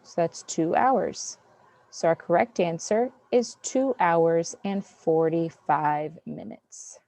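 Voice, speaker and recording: A woman's voice: lowish voice, slow, gravelly, warm tone. A woman teaching an online math class, counting through problems step by step. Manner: patient, step-by-step counting aloud. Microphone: video call.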